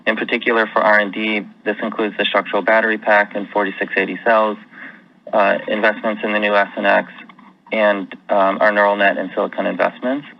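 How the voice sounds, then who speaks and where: A man's voice: lowish voice, little pitch movement, a little fast, creaky, dry recording. A man speaking as a company finance executive, delivering prepared remarks on an earnings call. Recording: dull lo-fi conference call audio.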